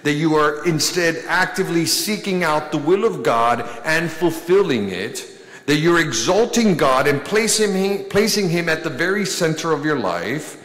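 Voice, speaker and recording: A man in his thirties, a priest delivering a sermon from a pulpit, speaking with slow, deliberate emphasis and pauses. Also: fairly high pitch, animated, fairly bright tone. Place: large church, at a pulpit. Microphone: pulpit microphone. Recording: echoey church with long reverb.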